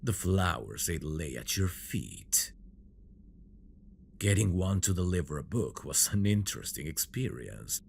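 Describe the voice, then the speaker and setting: Man acting a very deep, slow fantasy villain. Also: big pitch swings, darkish tone, a little hiss or hum, heavily acted. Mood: tender, longing. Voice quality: low gravelly murmur, often dropping to breathy whispers. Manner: slow, teasing, seductive delivery. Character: male fantasy villain, possessive, teasing, devoted to his bride. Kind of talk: intimate ASMR roleplay audio drama.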